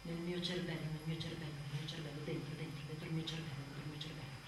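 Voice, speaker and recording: A woman's voice: sits low, flat delivery, quick pace, close to an everyday voice. An actress playing an exhausted young mother coming apart after childbirth, in an intense radio theatre monologue full of obsessive repetition and swings between murmurs and outbursts. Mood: numb, obsessive. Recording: very echoey, distant, noisy stage recording.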